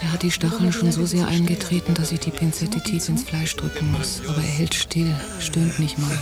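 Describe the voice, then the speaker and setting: An actress playing a watchful woman on holiday, narrating a radio drama in a measured, introspective way. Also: low voice, monotone. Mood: observant. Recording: 1990 radio drama, music behind.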